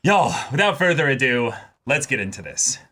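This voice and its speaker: A man hosting a casual, excitable reaction show, often repeating his words as he comments. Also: medium pitch, normal speed, slight background noise.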